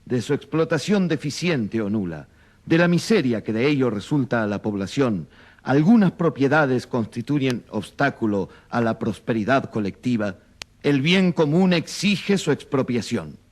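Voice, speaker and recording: Man acting as grave witness reading out a papal text, measured and formal, medium pitch, radio play. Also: expressive, natural voice. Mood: solemn. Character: solemn male witness reciting papal teaching.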